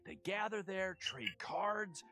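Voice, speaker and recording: An actor voicing a friendly townsman in a video game, explaining a card game to newcomers in a measured way. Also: high-pitched, lively intonation, exaggerated voice. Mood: friendly, reassuring. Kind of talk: scripted game dialogue. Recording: game audio under loud music.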